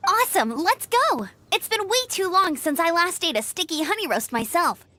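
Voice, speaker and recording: A woman's voice: extremely high pitch, big pitch swings, moderate pace, pushed and bright, no echo, heavily acted. An actress playing a cheerful, chatty young female knight in a game. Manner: bubbly, chatty.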